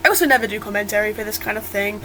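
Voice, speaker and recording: A woman with a slightly rough, under-the-weather voice gives casual, unscripted commentary on her own artwork in a chatty, self-deprecating way. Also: medium pitch, racing, bright, forceful tone, poor audio. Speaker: digital artist narrating own speedpaint. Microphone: built-in or improvised, no dedicated mic. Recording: fan noise, no proper mic. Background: whirring fan.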